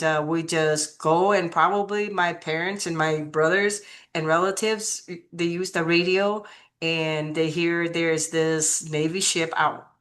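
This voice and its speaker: A woman in her fifties from Vietnam with a Vietnamese accent, telling her life story as an interview guest, halting with many fillers and restarts. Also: deep voice, fairly bright tone. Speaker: martial arts master guest.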